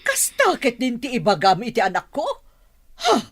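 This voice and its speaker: An indignant older mother-in-law voiced by an actress scolds in a drama. Her voice is rough and husky, leaping widely as she lashes out in outrage. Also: fairly high pitch, wildly swooping pitch, normal speed. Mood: outraged.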